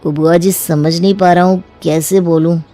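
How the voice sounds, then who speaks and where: A woman's voice: on the low side, steady tone, normal speed, dark tone, faint music behind, pitched below the performer's own voice, lightly acted. A woman voicing a hesitant, respectful young married man in a story, speaking in a deferential way.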